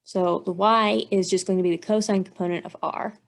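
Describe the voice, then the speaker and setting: A woman instructor with noticeable vocal fry talks through technical material step by step. Her pitch sits in the middle range for a woman, and she explains methodically, working out each piece aloud. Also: steady tone, fairly quick. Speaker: engineering instructor. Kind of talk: instructional walkthrough, thinking aloud while working out math.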